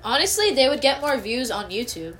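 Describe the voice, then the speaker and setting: Rambling young woman, excitable chatter. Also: on the high side, brisk, slight background noise. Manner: rambling, filler-heavy, excitable. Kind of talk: casual chat.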